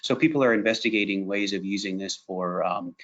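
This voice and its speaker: A man, a scientist answering audience questions on a video call, speaking in a measured, hesitant way with many uhs. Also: low voice, fairly bright tone. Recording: dull lo-fi video call audio.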